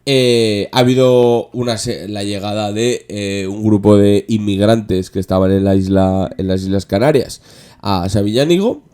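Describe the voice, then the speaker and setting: A man's voice: lowish voice, moderate pace, mellow, smooth. Sarcastic Spanish man chatting about politics. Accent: Spanish from Spain. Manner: sarcastic, joking. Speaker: podcast host. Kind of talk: casual political chat.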